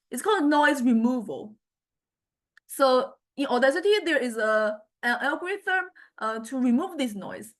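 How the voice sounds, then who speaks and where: Woman, university lecturer, slightly high pitch, informal demo style, halting explanations, frequent check-ins. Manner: halting, explanatory, often checking in with "right" and "okay". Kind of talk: informal software demonstration lecture.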